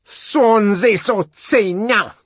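A man's voice: high voice, extremely slow, husky, no echo, studio quality, pitched above the performer's own voice, exaggerated voice. A man voicing an exasperated, defiant young man who bawls with ferocious derision in an audiobook story. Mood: derisive fury.

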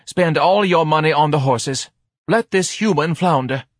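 A man's voice: a little high, a little slow, husky, dry recording, studio quality, voice pushed up. A man voicing a self-righteous, melodramatic middle-aged wife in an audiobook, nagging and reproachful. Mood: accusing. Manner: indignant, reproachful, dramatic.

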